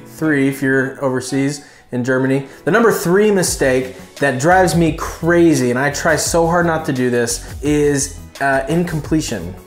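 A man's voice: on the high side, echoey room. A man in his thirties giving an informal, emphatic instructional talk to camera as a host, recorded on a lapel mic in a living room. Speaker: flight instructor and video host. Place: small furnished living room indoors.

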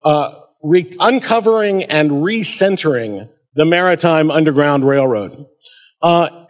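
Male history lecturer, middle-aged, American accent, voice a little higher than average, addressing a conference audience. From American Midwest. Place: auditorium at a museum, at a podium.

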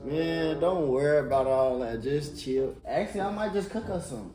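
A man's voice: on the high side, moderate pace, natural voice. A man with an American accent playing a bossy, exasperated older brother in a casual skit, slangy and scolding. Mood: laid-back boasting. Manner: slangy, scolding, says bro constantly. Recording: echoey room with loud music.

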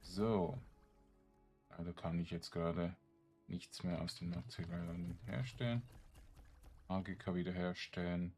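A man with a very low voice talks slowly through a video game, thinking aloud. His casual commentary is halting and muttered, as if half to himself, with music playing behind him. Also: level tone, darkish tone. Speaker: gaming streamer.

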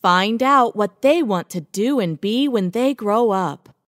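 A woman's voice: medium pitch, animated, takes it slowly, no room sound, clean studio sound. A woman narrating a children's picture book, reading the titles and blurb.

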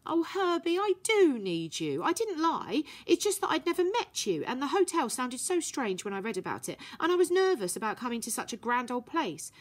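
An earnest young runaway girl as a woman reader puts her on speaks in a high, excitable voice that leaps in pitch. She sounds breathless and emphatic. The tone has a slight huskiness under the brightness. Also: normal speed, few pauses. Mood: eager.

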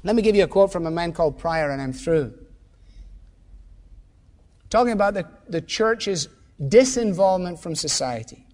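A man's voice: high voice, expressive, normal speed, long pauses, darkish tone, in a large hall. A man preaching a sermon as pastor, emphatic and full of rhetorical questions.

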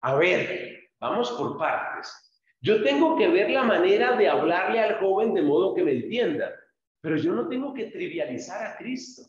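Pastor man teaching, slightly high voice, heavy echo, dull lo-fi sound. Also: big pitch swings, moderate pace. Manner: explains patiently, addresses listeners directly by name. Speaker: pastor teaching a church group. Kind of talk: instructional talk to a small group. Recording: very echoey, dull lo-fi room.

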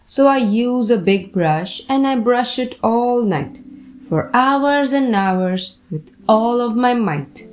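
A woman reading a children's picture book aloud with deliberate, expressive phrasing. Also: fairly high pitch. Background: music behind the voice.

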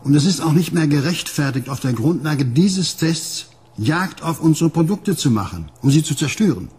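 A corporate android maker in a radio play. His voice is strongly husky and rough, pitched on the high side, and he talks smoothly like a boss. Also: animated, moderate pace, a little mellow. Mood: self-assured. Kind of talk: radio drama acting.

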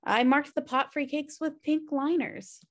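A woman's voice: sits high, a little slow. An American woman reading the part of a flippant, irresponsible twin sister in a sitcom script. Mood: wry. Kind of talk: sitcom script table read. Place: indoor room, on a video call. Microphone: video call microphone.

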